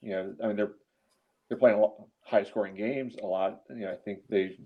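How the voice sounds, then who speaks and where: A man's voice: lowish voice, fairly even intonation, a little fast, breathy edge. A man on a sports podcast debating casually, in choppy phrases peppered with small fillers. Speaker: podcast co-host. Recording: dull, lo-fi audio.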